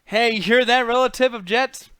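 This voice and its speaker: A man's voice: extremely high pitch, moderate pace, smooth, no room sound. A man co-hosting a podcast in casual, profane banter, ranting bluntly and slipping into exasperated mock voices. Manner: blunt, swearing, exasperated, animated mimicry. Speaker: podcast co-host.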